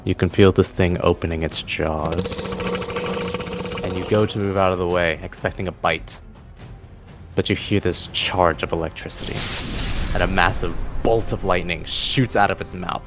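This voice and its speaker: Low-voiced man narrating a tabletop game. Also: fairly even intonation, normal speed. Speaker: game master. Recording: faint music behind the voice. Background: faint music.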